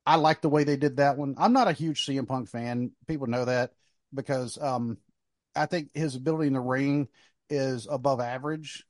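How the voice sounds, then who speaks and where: A male podcast host with a mid-pitched voice, recorded dry and close to room-free. He talks casually and conversationally, offering opinions in a sports discussion. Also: normal speed, no echo.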